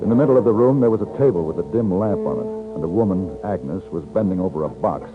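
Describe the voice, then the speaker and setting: Deep-voiced western gunfighter, old radio. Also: male voice, lively intonation. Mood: tense, narrating. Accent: American. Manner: measured, plain-spoken. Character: captured western gunfighter, calm and reasonable man. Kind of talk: 1950s radio western acting, first-person narration and dialogue. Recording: muffled 1950s radio recording, music behind.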